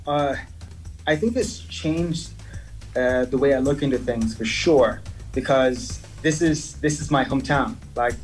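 A man's voice: mid-range voice, a little slow, rough recording. Hesitant man, DJ guest, music behind. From Dubai. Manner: hesitant, many fillers and restarts. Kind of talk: casual interview chat.

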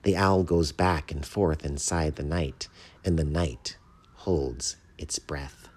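A man's voice: very deep voice, slow, a little mellow, husky, exaggerated voice. A man voicing a shy, earnest little bat who writes poems, tentative and naive, in a storybook reading. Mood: hushed, solemn. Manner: tentative, naive, easily bewildered.

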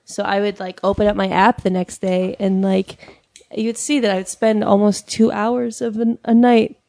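A woman's voice: medium pitch, flat delivery, moderate pace, vocal fry, dark tone, dry recording. A young woman co-hosting a casual chat show, talking bluntly and wryly. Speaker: podcast co-host.

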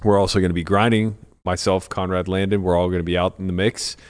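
A man with a somewhat low-pitched voice hosts a casual podcast. He talks informally and bluntly, teasing his co-hosts and swearing freely as he banters about poker. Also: flat delivery, fast. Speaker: podcast host.

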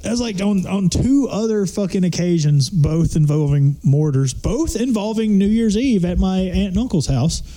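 A man chatting casually and telling stories on a podcast, with slangy, profane, animated delivery. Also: sits high, normal speed, few pauses, poor audio. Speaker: podcast crew member. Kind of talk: casual comedic storytelling chat.

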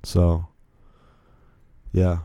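A man's voice: sits low, monotone, extremely slow, warm tone, no room sound, studio quality. A young man from Virginia hosting a solo podcast, rambling casually with frequent restarts and self-deprecating asides. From Virginia, USA. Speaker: podcast host.

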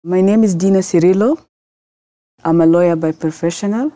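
Podcast guest, woman from South Sudan, low dark voice, dry close sound, earnest and halting. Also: no echo. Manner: earnest, halting non-native English with restarts. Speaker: guest, lawyer. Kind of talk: podcast interview answers.

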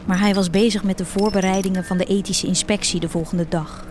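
A woman playing an earnest schoolgirl, proud of her fish farmer father, narrating a radio play in a measured storytelling way. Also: medium pitch, steady tone, over music, close to an everyday voice. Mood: earnest, recounting.

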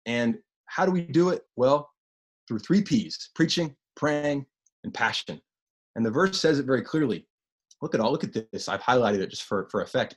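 A man with a deep voice preaching a sermon as pastor, animated and repeating phrases for emphasis. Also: husky.

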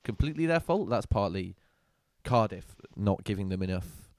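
A man's voice: fairly low pitch, lively intonation, moderate pace, a little mellow, no echo, clean studio sound. A man with a northern English accent, chatting casually and giving opinions, often repeating words. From northern England. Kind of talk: casual chat.

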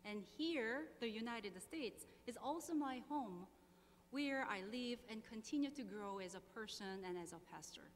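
A Korean-accented woman in her thirties preaches and prays in slow, earnest, deliberate phrases. Her voice sits a little high, and it rings out with a long reverb in a church sanctuary over a faint hum. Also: animated. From South Korea. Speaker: pastor. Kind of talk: sermon with prayer and scripture reading.

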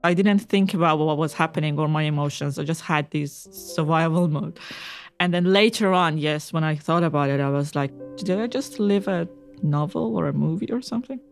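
A young woman from Iran telling her own story in a relaxed, chatty way, with fillers and small laughs. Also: deep voice, normal speed, vocal fry, soft background music. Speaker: interviewee. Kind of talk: personal storytelling interview.

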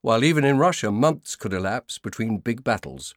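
A man narrating a scripted nonfiction audiobook in a measured, formal manner. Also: medium pitch, animated, no room sound, studio quality.

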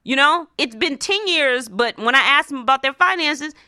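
A woman's voice: high-pitched, lively intonation, moderate pace, bright, forceful tone, dry recording, studio quality. A woman hosting a motivational advice show, speaking emphatically and colloquially, often checking in with short questions. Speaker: host of a money advice show. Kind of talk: motivational personal finance radio talk.

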